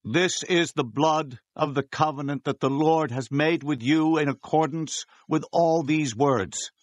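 A man's voice: on the high side, unhurried, no room sound. An actor playing a solemn male leader proclaiming a covenant in declamatory style in a scripture drama.

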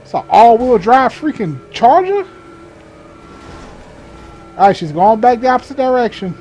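A man's voice: very high voice, big pitch swings, moderate pace, mellow, slight background noise, poor audio. A man doing improvised police radio call-outs in a game, mixing clipped procedural jargon with casual muttered asides. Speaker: gamer playing a sheriff's deputy in a police patrol role-play. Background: game vehicle and ambient sounds.

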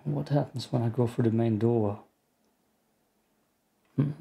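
A man's voice: mid-range voice, a little slow, creaky, mellow, slightly rough. A man with an Austrian accent casually commenting on a horror game as he plays, muttering frustrated asides to himself. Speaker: gameplay streamer. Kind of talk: casual let's play commentary.

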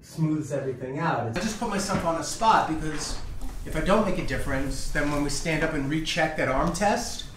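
Roomy, distant man, higher-pitched voice. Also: animated, normal speed. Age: 50s. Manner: blunt, joking, swearing. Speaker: chiropractor, host. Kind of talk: casual chat with patients while working. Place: small treatment room indoors. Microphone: handheld camera a few feet away. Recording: roomy, distant camera mic, faint noise.

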